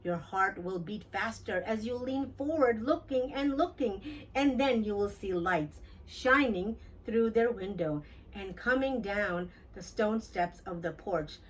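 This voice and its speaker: A woman reading a children's storybook aloud in an expressive, deliberate storytelling manner for young listeners. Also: mid-range voice, breathy edge, far from the mic, poor audio. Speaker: children's book read-aloud host. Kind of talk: scripted storybook narration for children.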